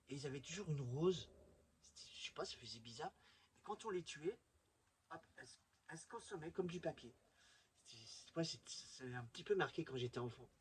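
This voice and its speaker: A man's voice: on the high side, fairly bright tone, slight background noise. A middle-aged man chatting enthusiastically and informally to viewers as he presents a hobby vlog. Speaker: video presenter showing a collection.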